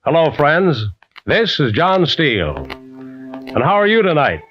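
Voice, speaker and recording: An actor playing a genial adventurer who introduces tales deliberately and folksily in 1940s radio style. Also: male voice, a little high, wildly swooping pitch, heavily acted. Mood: inviting. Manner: deliberate, folksy, addresses listeners as friends. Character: genial adventurer storyteller introducing a weekly tale. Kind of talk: 1940s radio acting, hosting narration. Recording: dull old radio recording with music.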